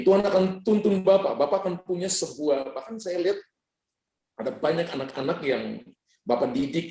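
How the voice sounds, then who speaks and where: A man, a pastor from Ambon, speaking over a video call in earnest, declaring prayer ministry that slows on key words. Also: fairly high pitch, a little mellow, echoey room, low-quality recording. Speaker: guest pastor.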